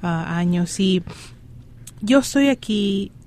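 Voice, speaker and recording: A young woman in her twenties from Ecuador, a guest activist, speaking proudly and deliberately. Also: mid-range voice, expressive, darkish tone.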